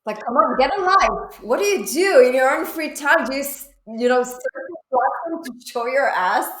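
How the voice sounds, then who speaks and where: A woman's voice: sits high, expressive, moderate pace, a bit bright. A woman chatting casually in a blunt, outspoken manner. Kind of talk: casual chat. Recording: echoey room sound.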